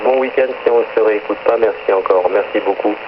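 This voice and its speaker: A man talks over a two-way radio, with clear noise and a muffled, lo-fi sound. He is a chatty middle-aged operator, running through friendly greetings and radio jargon in a casual way. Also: fairly low pitch, fast. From northern Paris area, France. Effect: two-way radio transmission. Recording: noisy, muffled shortwave radio transmission.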